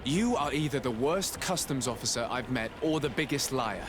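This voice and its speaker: A man playing a composed, diplomatic young noble legate in a video game, speaking formally and in measured, articulate phrases. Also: medium pitch, expressive, close to an everyday voice. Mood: stern, probing. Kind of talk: video game cutscene acting. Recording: noisy outdoor ambience behind the voice.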